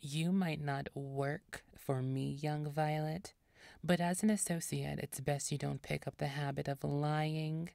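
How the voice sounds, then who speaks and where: A woman voicing an imperious vampire boss in an audio roleplay, commanding, condescending and deliberate. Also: low-pitched, fairly even intonation, gravelly, warm tone, dry recording, studio quality. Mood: imperious, menacing.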